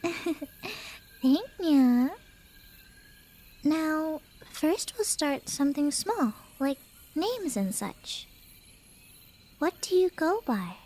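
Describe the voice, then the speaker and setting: Woman acting as a high-voiced, teasing cat girl. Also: very animated, drawn-out words, long pauses, dark tone, full character voice. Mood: cheerful, curious.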